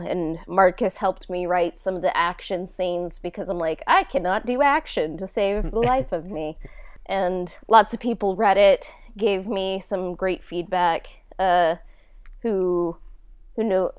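A woman talks casually in an interview with a clear, smooth voice on the lower side. She is very unhurried, informal and a little self-deprecating, feeling her way through sentences. The sound is dry, with no room around it. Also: dry recording, dull audio. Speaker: podcast host and audio drama writer being interviewed.

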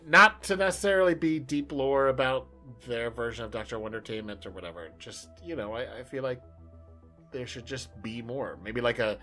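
A man's voice: medium pitch, moderate pace, bright, forceful tone, faint music behind. A man co-hosting a podcast in casual chat, thinking aloud in a hesitant way. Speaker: podcast co-host.

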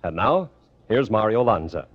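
A man's voice: on the low side, sing-song, moderate pace, no room sound. A man with an American accent reading scripted announcements in the style of a 1950s radio announcer. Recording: dull lo-fi vintage radio broadcast. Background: orchestral music.

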